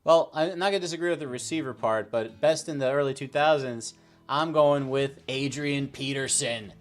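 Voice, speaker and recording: A man's voice: medium pitch, moderate pace, faint music behind. A man hosting a panel show, talking sports in a casual debate style.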